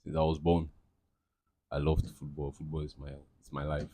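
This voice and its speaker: Young male interviewee, very deep voice, loose rambling answers, dry close recording. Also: completely flat delivery, normal speed, warm tone, no echo. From Cameroon. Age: young adult. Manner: rambling, many fillers and restarts. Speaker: interviewee, aspiring footballer.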